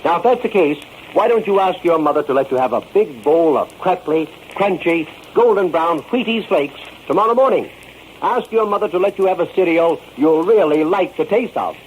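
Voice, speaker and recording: Old timey radio ad guy, pitched high, folksy sell to kids, slight hiss. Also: animated, moderate pace, muffled recording. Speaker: radio announcer. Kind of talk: 1930s radio advertising pitch to children. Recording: old radio recording, faint noise.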